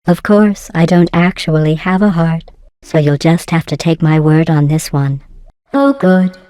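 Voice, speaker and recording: A woman voicing a passive-aggressive female artificial intelligence in a video game, deadpan and mock-sweet, with synthetic robotic processing. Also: low-pitched, unhurried, big-room sound. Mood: mock-sweet menace. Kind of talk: scripted video game dialogue.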